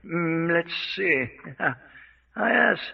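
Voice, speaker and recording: An actor playing a glib, coldly fanatical scientist with a pompous, precise manner in an old radio mystery serial. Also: male voice, on the high side, very slow, gravelly, breathy edge, full character voice. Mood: gleeful relish. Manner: pompous, precise, chuckling over grim matters. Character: glib, coldly fanatical male scientist, relishing fear experiments. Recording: dull lo-fi old radio recording.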